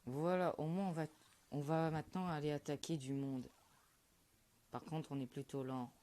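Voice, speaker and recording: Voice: medium pitch, fairly even intonation, normal speed, mellow. Young gamer, offhand mumbling. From Quebec, Canada. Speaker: gaming YouTuber. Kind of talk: casual gameplay commentary.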